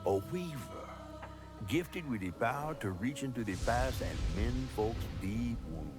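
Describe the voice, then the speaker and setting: A man's voice: mid-range voice, lively intonation, a little slow. Folksy Southern male storyteller over music. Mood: mysterious, portentous. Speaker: narrator. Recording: music under the voice. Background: clear background music.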